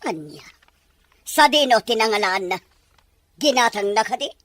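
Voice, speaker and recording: Woman acting as a haughty, shrill rich matriarch. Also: animated, moderate pace, fairly bright tone, no room sound. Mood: haughty, disdainful. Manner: imperious, scolding. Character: haughty, scornful rich matriarch and scheming mother. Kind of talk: melodramatic radio-style drama acting.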